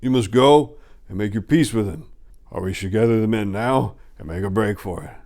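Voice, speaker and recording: A man puts on a drunken warrior from a sword-and-sorcery storybook. He is boisterous and familiar. He speaks loudly and bluntly. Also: on the low side, moderate pace, natural voice. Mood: blunt advice. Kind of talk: dramatic reading of sword and sorcery.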